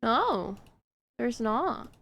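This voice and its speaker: A woman streaming a game and chatting in an easy, unhurried way, her voice gravelly with vocal fry. She swings up and down a lot in pitch as she talks, and she keeps answering chat with filler words and quick asides. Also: big pitch swings, a bit bright. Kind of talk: casual gaming stream chat.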